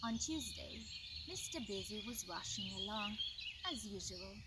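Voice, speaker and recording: A woman reads a children's bedtime story at a very slow, expressive pace. Her voice is on the high side and sounds set back from the mic, with loud chirping insects and birds all around. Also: very noisy. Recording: distant mic, loud insect and bird ambience.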